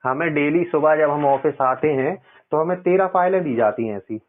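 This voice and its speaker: Male caller, slightly high-pitched, explains insistently and circles back over points, narrow phone-line sound. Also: normal speed. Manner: insistent, explanatory, repeats himself. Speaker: caller, loan customer. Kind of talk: casual phone conversation. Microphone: phone call.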